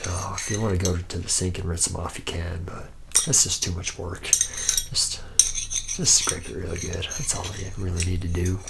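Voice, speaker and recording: A man's voice: sits low, level tone, normal speed, gravelly. A man playing a jaded, boastful lead robot mechanic in a rambling, drawling ASMR roleplay monologue. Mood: weary, resigned. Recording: noisy close recording with clinking metal.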